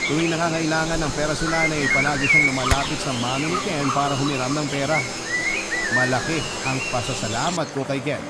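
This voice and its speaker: A man's voice: a little high, lightly acted. A man playing a humble, loyal young man who confides his story as scripted narration in a Filipino radio drama. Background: loud music bed.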